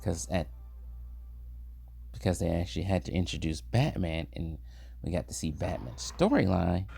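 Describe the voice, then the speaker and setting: Male host, casual reviewer: low register with fry, informal conversational delivery, lots of pitch movement. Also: expressive, moderate pace, warm tone. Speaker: host of an animation history video series. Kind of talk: casual opinionated review commentary. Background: background music.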